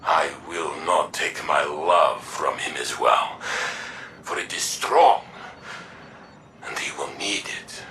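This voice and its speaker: An actor voicing a weary, earnest robot warrior from a video game, solemn and halting, with weighty pauses. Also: male voice, rumbling low, animated, bright, forceful tone, distant mic, slight background noise, exaggerated voice, poor audio. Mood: sorrowful, resolute.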